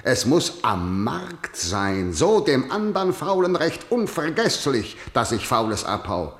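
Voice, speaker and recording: A man's voice: medium pitch, sing-song, slow pace. An actor playing an aging, domineering king in a verse radio play, commanding and scornful. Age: older. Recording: roomy studio echo.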